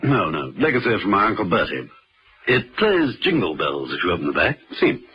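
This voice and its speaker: An actor playing a suave, witty British gentleman agent, urbane and polite, in a vintage radio drama. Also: sits low, very animated, fairly quick, heavily acted. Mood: wry, probing. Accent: British English. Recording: hissy vintage radio recording.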